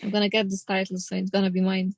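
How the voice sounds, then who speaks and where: A woman in her thirties with a Polish accent, speaking English as a second language. She talks as a boxer in an interview, plain and determined, at a middle pitch. The sound is close and dry, with no room echo. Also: monotone, fast. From Poland. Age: 30s. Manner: plainspoken, determined, non-native grammar. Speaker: professional boxer. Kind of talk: casual interview.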